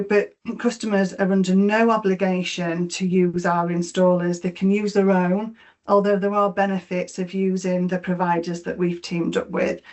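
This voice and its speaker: A middle-aged woman with a Yorkshire accent walks through a presentation, her voice on the low side for a woman. She keeps to a narrow, even pitch. Her delivery is explanatory, full of "um"s and small restarts. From Yorkshire, England. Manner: explanatory, with frequent fillers and repeated words. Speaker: mortgage lender presenter. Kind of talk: webinar presentation.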